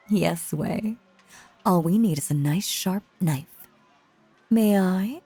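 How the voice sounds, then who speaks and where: Woman acting a silky, breathy, sinister lady magician. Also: on the low side, slow pace, dark tone, dry recording, exaggerated voice. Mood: sly, teasing. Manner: theatrical, flirtatious, silky and teasing. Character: sinister, seductive female stage magician, possessively doting. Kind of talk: intimate audio roleplay, scripted acting.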